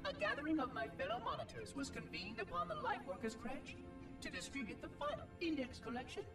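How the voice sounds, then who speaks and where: A prim, verbose robot monitor from a video game, pitched high with wildly animated intonation. The delivery is formal and exact, like an official record, and it sounds distant with music playing underneath. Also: male voice, fairly quick, exaggerated voice. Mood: wistful, solemn. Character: prim, talkative ancient robot monitor guarding an installation.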